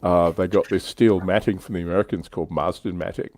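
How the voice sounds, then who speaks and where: A man's voice: low voice, steady tone, brisk, a little mellow, no echo, clean studio sound. A man hosting a casual hobby livestream, telling stories in an explanatory way with some swearing. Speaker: livestream host.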